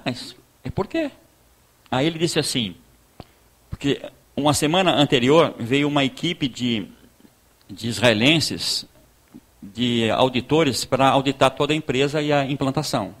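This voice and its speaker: Southern Brazilian pastor telling a story. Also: male voice, mid-range voice, fairly bright tone. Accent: southern Brazilian, Rio Grande do Sul. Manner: conversational storyteller acting out dialogue, halting with repeated words. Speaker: pastor giving a talk. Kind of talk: informal sermon with personal testimony.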